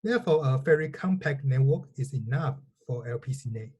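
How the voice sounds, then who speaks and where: Male researcher, native Chinese speaker, soft mellow tone, slightly rough husky voice, methodical formal lecture delivery. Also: medium pitch, lively intonation, a little fast. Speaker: researcher presenting a technical lecture. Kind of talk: scripted technical lecture narration.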